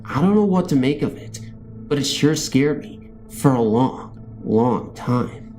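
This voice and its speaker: A man's voice: mid-range voice, animated, measured pace, darkish tone, faint music behind. A man reading scary stories as a narrator, in a scripted storytelling style.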